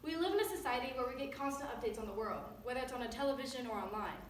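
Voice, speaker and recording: A woman's voice: high voice, expressive, rapid-fire. A teenage girl giving a poetic recitation in a readers theater performance. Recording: very echoey room, distant mic, faint noise.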